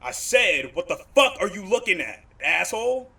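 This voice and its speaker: A man's voice: sits high, very animated, moderate pace, creaky, sharp tone, breathy edge, full character voice. A man playing a cocky, threatening street thug in a comic dub, aggressive in manner. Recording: muddy, with music behind.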